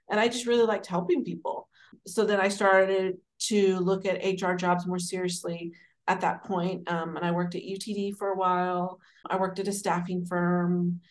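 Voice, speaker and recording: A woman in her forties from Dallas, telling her career story as an interview guest in a warm, rambling, conversational way. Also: fairly low pitch. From Dallas, Texas. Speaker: guest, city administrator.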